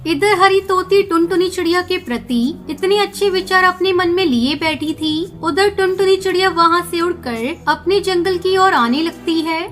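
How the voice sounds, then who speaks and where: A woman's voice: very high voice, normal speed, few pauses, fairly bright tone. A woman narrating a children's cartoon story in a scripted storytelling style.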